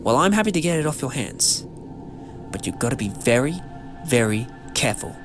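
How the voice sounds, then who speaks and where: An actor playing an eccentric, clever time-travelling adventurer in a fan audio drama, theatrical, with musing hesitations. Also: male voice, medium pitch, sing-song, a little fast, music in the background. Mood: grave warning.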